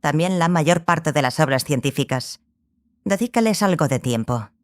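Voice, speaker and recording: A stern, scholarly sorceress tells her story in a fantasy audiobook. She is grave and obsessive, with a measured delivery and deliberate pauses. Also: low voice, animated. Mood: instructive. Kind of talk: dramatized audiobook dialogue.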